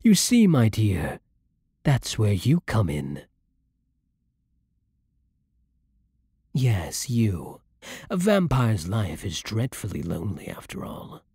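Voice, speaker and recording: A man playing a seductive, menacing vampire lord in an ASMR roleplay, with a breathy voice and a silky, theatrical, condescending manner. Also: fairly low pitch, huge pitch swings, warm tone, no room sound, clean studio sound, heavily acted. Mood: seductive, possessive. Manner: slow, theatrical, silky condescension with dropping murmurs. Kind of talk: ASMR roleplay, scripted monologue to the listener.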